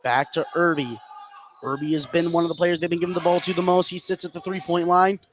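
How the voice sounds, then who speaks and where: A man with a slightly high voice calls a basketball game live on radio. He works as the play-by-play announcer, energetic as he follows the action. Some background noise from the game sits behind him. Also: a little fast.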